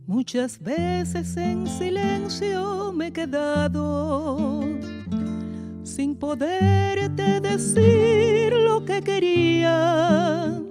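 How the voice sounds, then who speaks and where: Very high female singer over guitar. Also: very slow. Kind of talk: romantic song with guitar. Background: guitar music.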